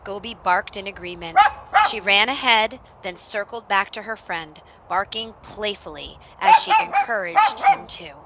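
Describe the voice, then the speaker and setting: A woman narrating a children's story in an expressive read-aloud style, with dramatic emphasis on action words. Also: mid-range voice, a little slow, heavy background noise.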